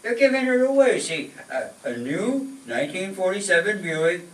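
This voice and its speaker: Man acting a highish-voiced skeptical sitcom pal. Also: expressive, normal speed. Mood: skeptical banter. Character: henpecked, skeptical family man, the hero's wisecracking pal. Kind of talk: 1940s radio sitcom acting. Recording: echoey room, distant mic, faint music.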